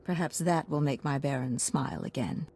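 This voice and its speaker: A woman's voice: a bass voice, normal speed, creaky, a little mellow, breathy edge, exaggerated voice. A woman playing a poised, grave baroness with a formal, courtly manner in a video game. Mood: grave concern.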